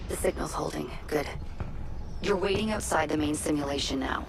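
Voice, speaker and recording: Heavy background noise surrounds the voice. a businesslike female mission guide in a game, rather low in pitch, briefing the listener with focus. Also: normal speed, vocal fry. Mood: focused, reassuring. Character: businesslike female guide speaking over a secret link. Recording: loud ambient rumbling noise.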